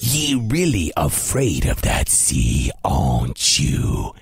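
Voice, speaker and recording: A storyteller voicing a huge, gruff, bullying male giant with a growly bellow in a children's story. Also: very deep voice, wildly swooping pitch, slow pace, faint music behind, voice pushed down, heavily acted. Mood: gloating. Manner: menacing, snarling, mocking.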